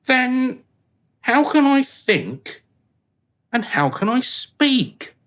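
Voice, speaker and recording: A man playing an anxious, pleading dead man in a radio play, excitable and flustered. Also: extremely high pitch, extremely slow, exaggerated voice. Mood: bewildered.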